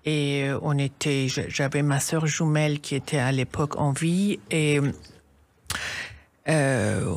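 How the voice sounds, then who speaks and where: A middle-aged woman from Iran, a charity founder telling her story in a radio interview in earnest, halting phrases with restarts. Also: low voice, no echo.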